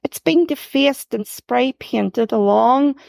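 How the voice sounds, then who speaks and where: A woman reads her own first-person short story in a measured, gently expressive way. Her voice sits a little high and has a gravelly edge, and the recording is close and dry. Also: no echo. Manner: measured, gently expressive reading, lifting her voice for quoted dialogue.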